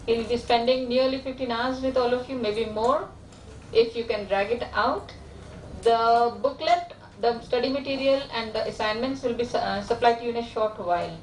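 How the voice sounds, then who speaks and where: A woman, a course organiser giving brisk, joking announcements before a lecture. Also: fairly high pitch, slight background noise. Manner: brisk, joking, hesitant restarts. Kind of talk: classroom announcements. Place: lecture hall. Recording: echoey hall from far off.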